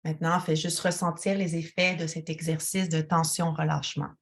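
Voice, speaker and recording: A woman guides a relaxation as a yoga teacher, speaking to one listener in a calm, soothing, instructional way. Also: deep voice, normal speed, gravelly.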